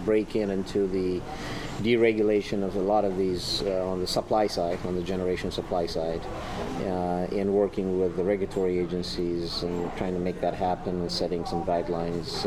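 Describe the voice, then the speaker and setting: An older man from Ethiopia, a guest on a podcast, talking in a halting, measured way with many pauses and fillers. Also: low-pitched. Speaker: guest, energy engineering executive. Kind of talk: casual interview talk. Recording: background noise.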